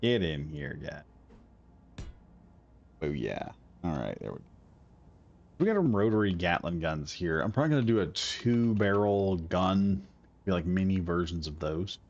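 A man's voice: low-pitched, very animated, unhurried, long pauses, a little mellow. A man streaming a building game, rambling casually and talking himself through each step as he builds. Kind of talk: casual gameplay commentary. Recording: slightly dull audio with faint music.

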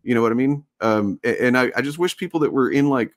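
A man's voice: mid-range voice, dry recording, clean studio sound. A man hosting a casual livestream, ranting bluntly and swearing freely. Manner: blunt, swearing, rapid rants. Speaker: livestream host.

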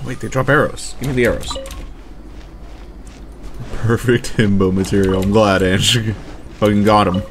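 A man's voice: on the low side, fairly even intonation, a little slow, pauses often, slight background noise. A man giving casual, joking, slangy commentary as he streams a video game. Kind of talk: casual let's play commentary. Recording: faint game music behind.

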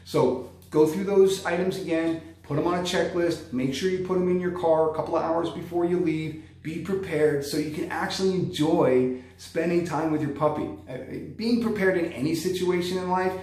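A man's voice: on the high side, steady tone, moderate pace, darkish tone. A man in his thirties, a dog trainer, giving practical tips in a vlog and checking in with "okay?" after each point. He talks straight to the camera from an indoor room. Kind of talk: instructional vlog. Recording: very echoey room.

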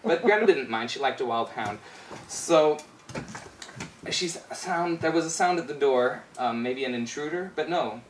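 A man's voice: fairly high pitch, animated, moderate pace. A man in his twenties performing a rhyming spoken-word fairy tale with a playful, rhythmic delivery. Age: 20s. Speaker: storyteller performer. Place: small bare indoor room. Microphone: far camera microphone. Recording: roomy, distant camera mic with background noise.

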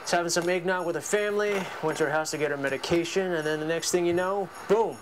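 Quick, serious policeman played by a man. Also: a little high, background noise, close to an everyday voice. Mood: grave. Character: serious, indignant male police detective. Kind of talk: comedy police sketch acting.

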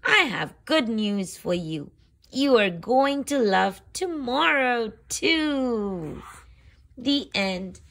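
A woman reading a picture book aloud for young children, with deliberate phrasing and expressive emphasis. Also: on the high side.

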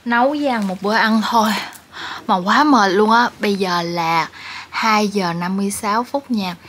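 A woman's voice: medium pitch, normal speed, fairly bright tone. A woman in her twenties with a southern Vietnamese accent, chatting casually and playfully to viewers as a vlogger. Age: 20s. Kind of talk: casual vlog chat to viewers. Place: small apartment, kitchen and living room. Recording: clear household background noise.

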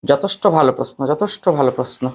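A man's voice: mid-range voice, racing, gravelly, a little mellow. Man guiding students informally. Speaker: teacher presenting an exam paper to students. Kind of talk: informal exam paper walkthrough for students.

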